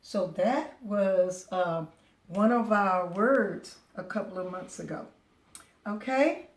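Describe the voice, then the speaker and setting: A woman's voice: medium pitch, slow. A woman speaking as a teacher of young children, encouraging and patient, going step by step and posing questions to the listener. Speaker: preschool teacher. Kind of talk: children's lesson, asking and answering questions.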